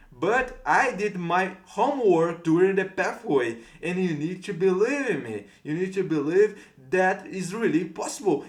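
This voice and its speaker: A Brazilian man speaks English with a Brazilian Portuguese accent in a rather high voice, giving earnest coaching to the camera. He keeps repeating his encouraging points, and his voice rings in a roomy, echoey space. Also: fairly bright tone. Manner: earnest, repetitive coaching phrases. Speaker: English tutor. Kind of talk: motivational talk to camera.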